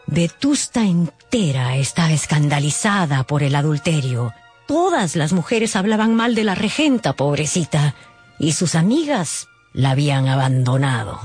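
A man's voice: on the high side, darkish tone. A man narrating a scripted radio drama as a storyteller.

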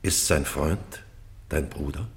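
A man's voice: extremely low pitch, slow pace, creaky, heavily acted. An actor playing a proud, remorseful old British king, declaiming solemnly in classical verse for a radio play. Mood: regal, commanding. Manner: declamatory, solemn, breaking into hushed tones.

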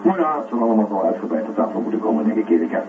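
A man's voice: lowish voice, big pitch swings, racing, music in the background. A man acting a patient but firm male psychiatrist questioning someone in a science fiction radio drama. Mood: coaxing. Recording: roomy, distant, tinny phone-like radio recording.

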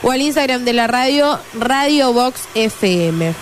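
An Argentine woman co-hosting a radio show, trading quick, playful banter. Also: fairly high pitch, expressive, a little hiss or hum. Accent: Argentine Spanish. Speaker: radio co-host. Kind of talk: casual radio chat. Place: radio studio. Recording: light music behind.

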